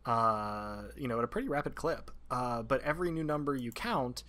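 Male game master for tabletop session, medium pitch, improvised descriptions in careful, halting phrases. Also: pushed and bright.

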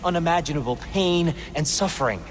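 A man's voice: a little high, brisk, a little hiss or hum, close to an everyday voice. Man acting a weary, solemn former war god. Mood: grave. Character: weary, sorrowful, gentle peace-seeking former war god. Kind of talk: dramatic video game acting.

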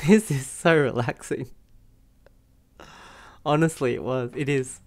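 A man's voice: mid-range voice, slow, a little hiss or hum. Young male streamer, laid-back casual reaction commentary, close mic in a small room. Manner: relaxed, giggly, repeats himself. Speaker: game streamer.

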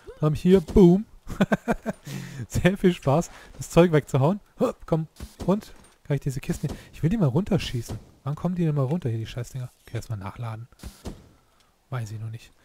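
Hoarse excitable gamer guy. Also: on the high side, normal speed, slight background noise. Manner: excitable, swears, exclaims at the game. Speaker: let's play youtuber. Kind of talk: casual gameplay commentary.

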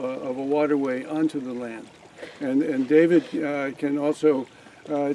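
Older man, farm tour guide, rambling with restarts, outdoors, clear background noise, camera among the group. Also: a little high, dark tone, rough recording. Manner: rambling, frequent restarts and fillers. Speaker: farm owner giving a tour. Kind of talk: casual outdoor tour talk. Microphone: camera among a standing group.

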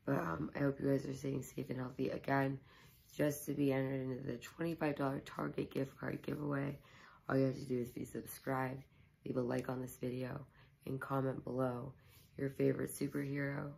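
Young woman with a hoarse, gravelly voice. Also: rumbling low, flat delivery, moderate pace, darkish tone, low-quality recording. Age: young adult. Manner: rambling, many ums and likes. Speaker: YouTuber. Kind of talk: casual vlog chat to camera. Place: small living room at home, indoors.

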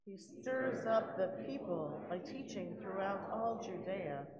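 A woman reading the part of accusing priests and elders in a church dramatic reading, measured in manner. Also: mid-range voice, expressive, darkish tone, slight background noise. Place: large church. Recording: dull, echoey church hall from afar.

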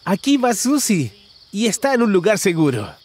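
A man's voice: high-pitched, very animated, normal speed, slightly rough. A man narrating a children's cartoon in an exaggerated, singsong way, talking to the characters.

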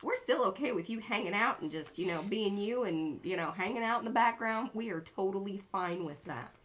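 A woman's voice: medium pitch, fairly quick, a bit bright. A woman in her thirties, co-hosting an art livestream from a small home studio, chatting bubbly and playfully as she greets viewers by name.

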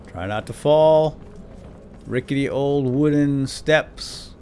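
A man's voice: mid-range voice, slow, a little mellow, a little hiss or hum. A man giving playful, lightly role-played let's play commentary, chatting to his in-game companion.

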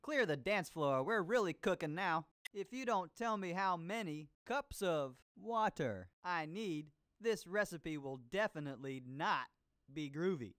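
A groovy seventies disco cooking show host for a cartoon game. He is hammy and upbeat, stretching his words slowly and swinging through wide pitch swoops. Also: lively intonation, a bit bright, clean tone, dry recording, soft background music. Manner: hammy, swinging, drawn-out delivery. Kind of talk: cartoon game voice-over with 1970s disco slang.

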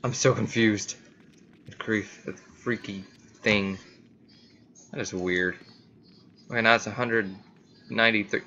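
A man with a fairly low voice hosts a gaming video in a casual, off-the-cuff way. He rambles and reacts to himself as he plays. The home recording has clear background noise. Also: steady tone, takes it slowly, long pauses, bright, forceful tone, highs cut off. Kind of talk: casual gameplay commentary.

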